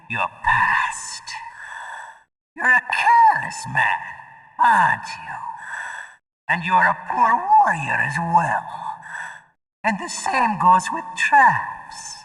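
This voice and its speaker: Theatrical psychic villain, wildly swooping pitch. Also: male voice, vocal fry, big-room sound, exaggerated voice, poor audio. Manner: theatrical, drawn-out, swooping from loud cries to whispers. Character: menacing male psychic villain, theatrical and taunting mind reader. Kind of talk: video game voice acting.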